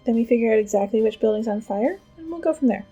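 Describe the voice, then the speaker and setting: A take-charge teenage schoolgirl in an audio drama. Her voice is high but soft-edged and husky, and she stays deadpan and decisive. Faint music sits behind her. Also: fast, muffled recording. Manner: matter-of-fact, deadpan. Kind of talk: audio drama, understated dialogue.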